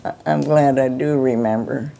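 Creaky centenarian American woman. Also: rumbling low, fairly even intonation, moderate pace, dark tone, a little hiss or hum. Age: over 100. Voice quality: creaky, aged. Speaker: interviewee. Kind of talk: casual reminiscence.

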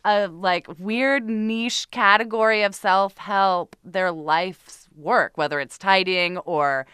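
A woman's voice: mid-range voice, slow, fairly bright tone, clean tone, no echo, clean studio sound. A woman chatting casually as an interview guest, informal, candid and wry. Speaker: podcast co-host being interviewed. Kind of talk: casual chat.

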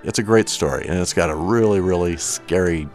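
Very deep-voiced man, playful and teasing. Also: a little fast, a little mellow. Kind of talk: casual chat. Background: music.

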